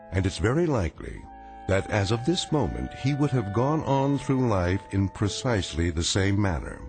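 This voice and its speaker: An actor as the wry, ominous narrator of an eerie radio drama, speaking in a measured, theatrical way. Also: male voice, lowish voice.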